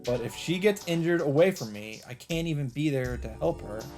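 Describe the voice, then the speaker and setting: A man reading a visual novel script aloud in an expressive narrating style. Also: on the high side, moderate pace. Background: game music.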